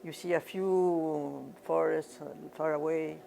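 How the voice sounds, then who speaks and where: An older woman from Argentina, a painter giving reflective interview answers, unhurried and often searching for words and repeating phrases. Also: low-pitched, fairly even intonation, gravelly, mellow, slight background noise.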